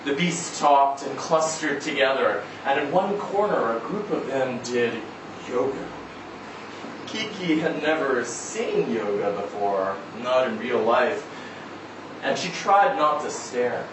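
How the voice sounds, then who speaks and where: A man giving a dramatic live reading of a short story, with measured, deliberate phrasing and pauses. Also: medium pitch, animated. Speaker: story reader at a live literary event. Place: indoor event venue before a live audience. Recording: echoey room, distant mic, noisy background.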